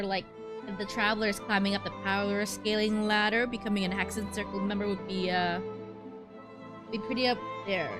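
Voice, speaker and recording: A woman streamer giving casual, musing commentary on a game playthrough, hesitant but enthusiastic. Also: medium pitch. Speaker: VTuber streamer.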